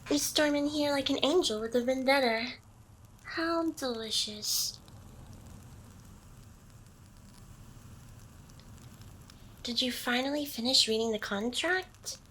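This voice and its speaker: A woman voicing a smug, possessive ancient demoness boss in an intimate, seductive ASMR roleplay, with teasing pauses. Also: on the high side, fairly bright tone, slight background noise, rough recording. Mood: amused, taunting.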